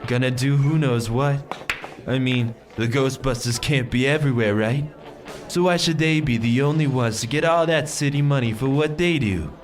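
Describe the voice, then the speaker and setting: Scheming envious man acted by a man, medium pitch, sardonic grumbling, audio drama, music playing behind. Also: moderate pace, close to an everyday voice. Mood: irritated.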